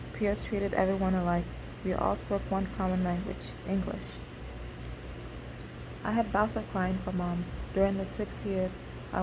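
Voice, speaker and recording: Flat-toned woman reading memoir, noisy recording. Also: mid-range voice. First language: Igbo. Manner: halting reading with slips and rereads. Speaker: memoir author reading own story. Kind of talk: scripted first-person memoir narration.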